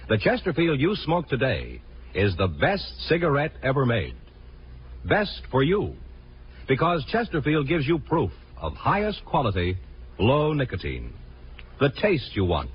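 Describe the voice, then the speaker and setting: Vintage male commercial announcer, hugely animated pitch, emphatic sales pitch, muffled old radio sound. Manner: emphatic, declamatory salesman delivery. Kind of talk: 1950s radio cigarette advertisement. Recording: muffled, hissy 1950s radio recording.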